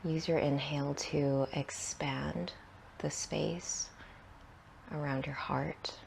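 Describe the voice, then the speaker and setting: A woman guides a meditation in a low-pitched voice thick with creaky fry. She speaks very slowly and soothingly, in short phrases that trail off softly and breathily between long pauses. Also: steady tone, slight background noise.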